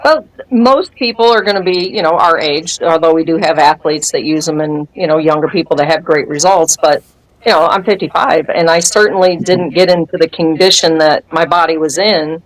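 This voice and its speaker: A woman in her fifties with a fairly low voice tells her story in a relaxed interview chat. She brings it to life by acting out the things people said to her and laughs often. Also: normal speed, rough recording. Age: 50s. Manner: animated storyteller who acts out quoted dialogue and laughs often. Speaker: guest sharing a testimony.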